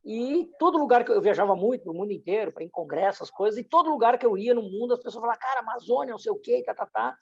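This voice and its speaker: A man's voice: sits high, very fast, gravelly, few pauses, breathy edge. A middle-aged man, telling his story casually and often stammering over short, repeated words. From southern Brazil. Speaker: interview guest, entrepreneur. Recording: slightly dull, muffled audio.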